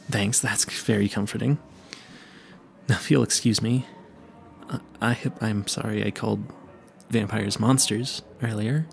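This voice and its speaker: A man's voice: fairly low pitch, fairly even intonation, takes it slowly, vocal fry, pauses often, faint music behind. A man playing a clueless, earnest vampire hunter in an audio roleplay, stammering and rambling in a politely formal, pleading way. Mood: sheepish, smitten. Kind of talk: intimate audio roleplay addressed to the listener.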